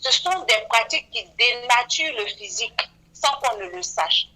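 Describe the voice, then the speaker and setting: A woman, speaking earnestly as a beautician guest on a radio interview and often filling pauses with "voilà". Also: on the high side, expressive, pushed and bright, poor audio. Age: 40s. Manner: earnest, frequent "voilà" fillers.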